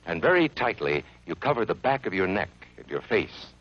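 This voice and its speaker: A man's voice: sits low, sing-song, moderate pace, dry recording, lo-fi sound. A man narrating an educational film for children in an earnest, friendly, instructive way, from an old 1950s soundtrack.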